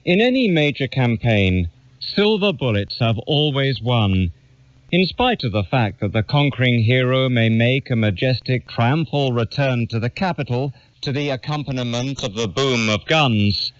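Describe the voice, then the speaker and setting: Man reading formally, with lively pitch swings. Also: measured pace, dry recording, lo-fi sound. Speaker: reader of an essay. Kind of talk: scripted literary reading.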